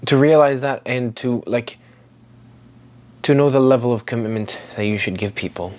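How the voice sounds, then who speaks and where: A man's voice: mid-range voice, moderate pace, darkish tone. A man in his twenties, originally from Syria, talking reflectively in a personal daily vlog, rambling with many fillers and restarts. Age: 20s. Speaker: daily vlogger.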